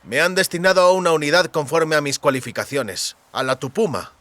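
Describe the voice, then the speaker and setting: Audiobook lead read by a man: sarcastic educated youth, aloof delivery, fairly high bright voice. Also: fairly quick, no room sound, natural voice. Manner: dry, aloof. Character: educated, sarcastic, slightly superior young man.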